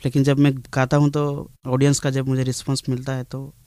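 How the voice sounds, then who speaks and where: Man, smooth clear voice, dry close sound, modest halting interview answers. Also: mid-range voice, completely flat delivery, warm tone, no echo. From India. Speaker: guest singer being interviewed.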